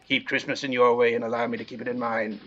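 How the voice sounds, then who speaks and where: A man's voice: medium pitch, little pitch movement, fast, lightly acted. A man performs a miserly old Victorian uncle in an audio play. He is curt, scornful and indignant, with stern authority and open contempt. The recording is dull and lo-fi. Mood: indignant, threatening.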